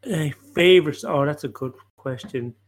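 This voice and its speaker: A man's voice: a little high, moderate pace. A man on a podcast panel chatting casually with a dry, joking delivery. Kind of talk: casual banter.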